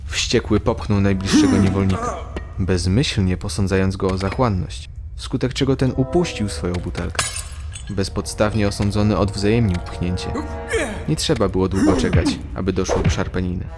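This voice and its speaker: Casting: male narrator for scripted fantasy audio drama, low voice, prominent music bed underneath. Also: moderate pace. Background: loud music.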